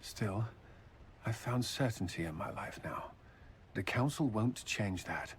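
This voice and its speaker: An actor playing a devoted, soldierly commander in a video game cutscene, earnest and tender in manner. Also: male voice, fairly low pitch, lively intonation, unhurried, a little hiss or hum. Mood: reflective, sincere.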